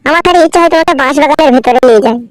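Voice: high voice, racing, no echo, close to an everyday voice. An actor playing a smitten young man, a secret lover in a comedy cartoon, pleading and cajoling. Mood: wheedling.

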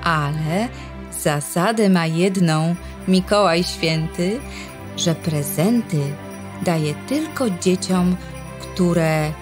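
A woman narrating a rhyming children's tale in a gentle, measured way. She speaks slowly in a lowish voice, with music playing under her words.

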